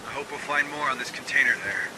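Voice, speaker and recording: An actor playing a tough, wry marine squad sergeant in a video game, talking tersely. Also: male voice, medium pitch, flat delivery, fast, lightly acted. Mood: wry, focused. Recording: noisy game action behind.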